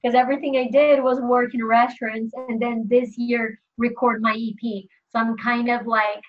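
A young woman from Brazil chats easily about her music, with lots of fillers and a laugh slipping into her sentences. Her voice sits a bit high. The recording is a little dull and lo-fi. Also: flat delivery, normal speed. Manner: chatty, frequent "like" fillers, laughs while talking. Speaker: musician guest. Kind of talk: casual interview chat. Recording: slightly dull lo-fi sound.

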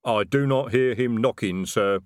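A man voicing a stolid male troll sergeant who answers flatly and literally. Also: mid-range voice, measured pace, no room sound, studio quality, natural voice. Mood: deadpan.

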